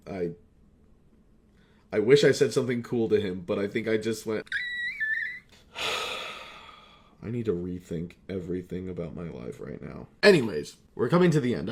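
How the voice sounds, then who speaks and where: A man's voice: medium pitch, darkish tone. A man talking in a rambling, confessional, casual monologue, candid and self-deprecating, with frequent swearing. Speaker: voice actor reflecting on hobby career.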